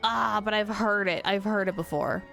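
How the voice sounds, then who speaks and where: Excitable woman game streamer over music. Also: mid-range voice, normal speed, gravelly. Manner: excitable, gushing, chatty. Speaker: game livestreamer. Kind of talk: casual live stream commentary and chat.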